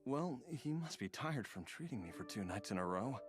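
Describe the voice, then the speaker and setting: Man as a hesitant father, animated pitch. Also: moderate pace, music in the background, close to an everyday voice. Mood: grateful, puzzled. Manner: sighing, hesitant, mild. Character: grateful middle-aged father recovering from grave illness. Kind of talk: video game voice acting.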